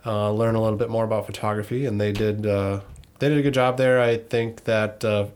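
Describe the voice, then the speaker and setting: A man's voice: fairly low pitch, fairly even intonation, a little fast, a little mellow, smooth. A thirty-year-old man from northern Minnesota co-hosting a casual podcast, rambling a bit and correcting himself with frequent ums. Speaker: podcast co-host.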